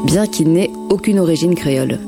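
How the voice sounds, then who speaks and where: A woman hosting a podcast, reading scripted narration in a measured, clear way. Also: deep voice. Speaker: podcast host. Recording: narration over music.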